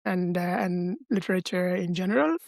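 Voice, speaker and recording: A middle-aged man, answering interview questions in a measured, thoughtful way over a video call. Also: sits high, creaky, no echo, clean studio sound. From Sri Lanka. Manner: measured, thoughtful, with frequent hesitations and restarts. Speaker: guest, professor and translator.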